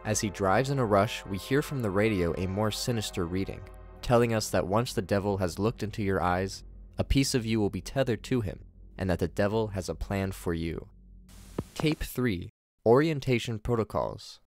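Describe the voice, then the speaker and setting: A young man reading his scripted commentary on a horror video series, in a measured way. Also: lowish voice, darkish tone, faint music behind. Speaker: video reviewer.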